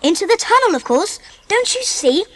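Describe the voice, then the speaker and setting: A woman playing a bold, bossy child adventurer with an English accent, brisk and no-nonsense, in a dramatised audiobook. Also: extremely high pitch, big pitch swings, fairly bright tone, big-room sound, heavily acted.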